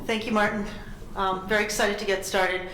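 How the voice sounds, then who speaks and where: A woman moderating a conference panel, introducing speakers briskly with some fillers. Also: mid-range voice, level tone. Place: large conference room. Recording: echoey room, faint noise.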